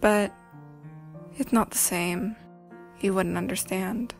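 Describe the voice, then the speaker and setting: Woman playing a creaky, subdued, lonely teenage girl. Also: fairly even intonation, takes it slowly, faint music behind. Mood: melancholy. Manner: downbeat, low-key.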